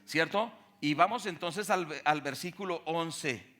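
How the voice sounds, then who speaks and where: A man's voice: fairly high pitch, a little slow, a bit bright. A man with a Colombian accent preaching a sermon emphatically, putting questions to his congregation. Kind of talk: sermon with Bible reading and testimonies. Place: speaking to a church congregation.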